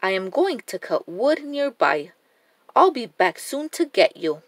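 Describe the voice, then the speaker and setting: A storybook woodcutter father, read by a woman, giving instructions plainly. The delivery is measured and unhurried, with animated intonation in a dry recording. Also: on the high side, no echo. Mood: matter-of-fact.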